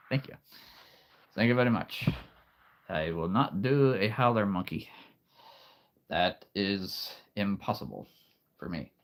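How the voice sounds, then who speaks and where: A man hosting a live stream in a relaxed, chatty way, with a very deep, soothing storyteller voice and frequent throat clearing from allergies. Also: slow pace, pauses often. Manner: soothing, calm storyteller delivery; rambling, with frequent restarts and soft laughs. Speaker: livestream host. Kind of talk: casual live-stream chat.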